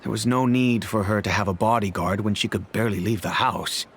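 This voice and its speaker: Man playing a grave, dignified noble patriarch, low-pitched. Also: slight background noise, close to an everyday voice. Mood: troubled, reminiscing. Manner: formal, measured, grave, with pauses. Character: dignified older father, head of a noble family. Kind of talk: video game character dialogue. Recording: music behind the voice.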